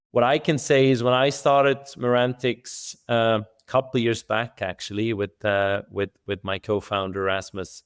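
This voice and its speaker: A middle-aged man from Switzerland, a venture studio co-founder, talking through his answers in a thoughtful, measured way with some restarts. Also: on the low side, clear, resonant tone. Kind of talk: conversational podcast interview.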